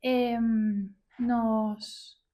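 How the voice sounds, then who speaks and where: A Venezuelan woman in her thirties speaks as a guest in a casual conversation. Her voice sits fairly high and is soft-edged and very mellow. Her accent is Venezuelan and she talks like someone sharing stories. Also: flat delivery, drawn-out words. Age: 30s. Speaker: podcast guest.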